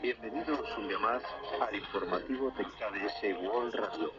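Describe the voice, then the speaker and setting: Low-voiced male newsreader on muffled shortwave radio. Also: over loud music. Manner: formal, measured announcer delivery. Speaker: radio news presenter. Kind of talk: scripted news bulletin reading. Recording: muffled shortwave radio reception, distant, crackly.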